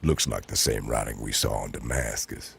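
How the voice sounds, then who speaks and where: A man voicing a calm, big man with a very deep bass voice in an audio drama, over a noisy internet call. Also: moderate pace, creaky, slightly rough, exaggerated voice. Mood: grave, calm. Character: deep-voiced big man calmly observing ancient writing.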